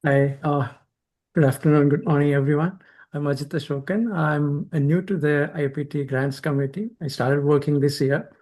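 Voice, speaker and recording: A man's voice: fairly high pitch, moderate pace, darkish tone. A man, a postdoctoral researcher, giving a brief, hesitant self-introduction on a video call.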